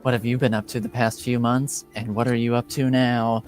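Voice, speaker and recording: A man leads a tabletop game as game master, narrating with a relaxed, searching delivery full of small pauses. His voice sits at an ordinary pitch, and soft music plays faintly under him. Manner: casual, halting with fillers.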